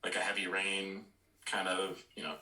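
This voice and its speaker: A man co-hosting a podcast, thinking aloud in a measured, hedging way during casual discussion. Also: low-pitched, monotone, sharp tone. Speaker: podcast co-host. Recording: echoey room.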